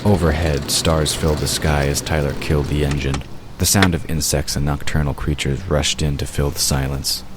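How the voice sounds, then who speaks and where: A man with an extremely deep voice narrates a scripted audio drama. Clear ambient noise runs behind his storytelling. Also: flat delivery, moderate pace.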